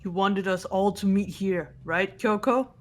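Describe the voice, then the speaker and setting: A woman's voice: medium pitch, a little slow, faint music behind, natural voice. A woman playing a composed, serious young female student detective, matter-of-fact, in an amateur game dub. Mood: serious, calm. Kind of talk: amateur fully voiced game playthrough.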